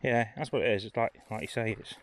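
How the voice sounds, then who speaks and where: A man's voice: on the low side, fast talker, a bit bright, a little hiss or hum. A man giving casual, informal vlog commentary, thinking aloud as he walks outdoors. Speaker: travel vlogger. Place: outdoors, walking around a village churchyard.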